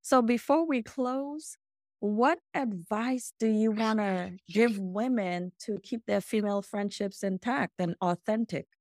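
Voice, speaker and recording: Gravelly-voiced woman hosting an interview. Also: mid-range voice, unhurried, a little mellow, no room sound, clean studio sound. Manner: supportive, frequent affirmations. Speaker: podcast host. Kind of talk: conversational interview.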